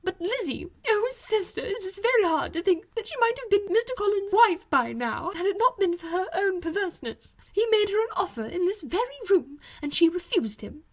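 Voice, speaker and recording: A woman's voice: very high-pitched, sing-song, brisk, few pauses, voice pushed up, heavily acted. A woman voicing a fretful, self-pitying middle-aged married woman in an audiobook, complaining in a plaintive, dramatic way. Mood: aggrieved, plaintive. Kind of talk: audiobook character voice.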